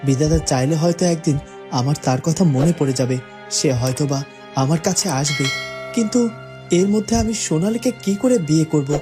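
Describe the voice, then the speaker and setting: A man's voice: fairly high pitch, a little fast, over music, lightly acted. A man voicing a troubled, hesitant young man in an earnest, pondering cartoon monologue. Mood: wistful. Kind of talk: cartoon dubbing, dramatic monologue.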